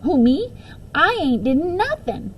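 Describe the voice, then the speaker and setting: A woman's voice: high-pitched, big pitch swings, takes it slowly, a little hiss or hum, pitched above the performer's own voice, heavily acted. A woman voicing a cheeky, defensive second-grade boy in a storybook, with playful, slangy talk.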